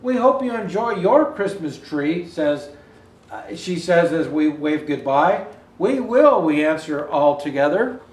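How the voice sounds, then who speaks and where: Older man, high voice, children's storybook reading, expressive deliberate phrasing, roomy echo. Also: far from the mic. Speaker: Santa Claus storyteller. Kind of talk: read-aloud children's picture book. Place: indoor room with Christmas decor.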